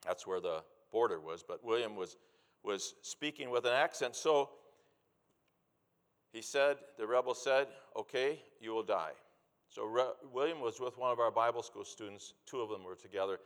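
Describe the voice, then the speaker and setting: A middle-aged or older man preaching a sermon with personal storytelling, speaking in a deliberate, earnest way and repeating key phrases for emphasis. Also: mid-range voice. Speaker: preacher, former missionary. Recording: long hall reverb.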